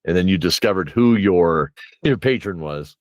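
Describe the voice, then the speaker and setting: Male host, lively swooping intonation, close dry sound, jokey conversational banter with chuckles. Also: low-pitched, moderate pace, no room sound. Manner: jokey, chuckles while talking. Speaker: interview host and game master. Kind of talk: casual bantering interview chat.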